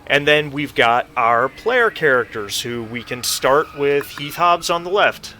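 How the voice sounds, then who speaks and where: A man with a bright, forward voice at a medium pitch runs a tabletop game as game master. He explains things casually and encouragingly, with faint noise on the recording. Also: expressive, unhurried.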